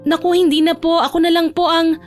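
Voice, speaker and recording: A woman's voice: very high-pitched, flat delivery, a little fast, voice pushed up. A woman voicing a timid, polite young office trainee in an audio drama, deferential and apologetic, with music behind her. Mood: shy, polite.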